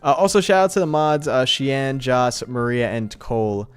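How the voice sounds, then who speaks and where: Clear-voiced man chatting casually on livestream. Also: mid-range voice, moderate pace, soft background music. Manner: informal, slangy, appreciative of viewers. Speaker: live streamer and host. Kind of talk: casual livestream chat with viewers.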